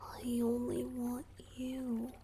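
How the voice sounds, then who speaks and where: Woman voicing a slow, soft-spoken young merman boyfriend. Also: a little high, completely flat delivery, a little hiss or hum. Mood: weary, confiding. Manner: soft, hesitant, intimate, often dropping to whispers. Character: young male merman boyfriend, shy, teasing, affectionate. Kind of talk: romantic ASMR roleplay.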